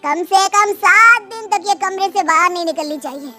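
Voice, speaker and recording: A woman's voice: very high-pitched, normal speed, sharp tone, soft background music, voice pushed up, exaggerated voice. A woman voicing a domineering, rude uncle. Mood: contemptuous. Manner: contemptuous, scolding. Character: rude, domineering middle-aged uncle-in-law.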